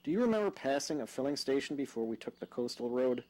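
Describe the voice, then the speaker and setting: A man's voice: mid-range voice, big pitch swings, quick pace, a little mellow, lightly acted, rough recording. A man playing a practical, wry young houseguest in an audio drama, with a dry conversational manner. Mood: casual, dry.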